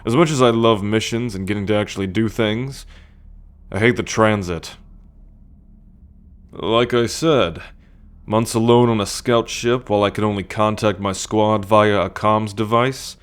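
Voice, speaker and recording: A cocky alien scout played by a man speaks straight to the listener in an ASMR roleplay. His voice sits fairly low, and he takes his time with a very slow, teasing drawl. He comes across as a self-assured warrior. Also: steady tone, clean tone, lightly acted. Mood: relaxed, wry.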